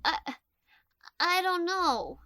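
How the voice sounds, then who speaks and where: Woman playing a high-pitched, defiant little boy. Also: lively intonation, pushed and bright, no room sound, studio quality, exaggerated voice. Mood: guilty, uneasy. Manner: childish, emphatic, drawn-out retorts. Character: mischievous, defiant young country boy. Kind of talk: audiobook dramatization of a classic novel.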